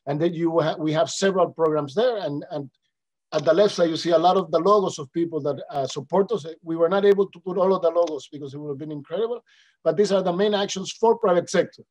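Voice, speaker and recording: Dominican guy w spanish accent doing a webinar talk, lots of uhs and restarts, slightly high voice. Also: fast, a little mellow, low-quality recording. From Dominican Republic. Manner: hesitant with many fillers and restarts, polite. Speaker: panelist representing an international organization. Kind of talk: webinar presentation.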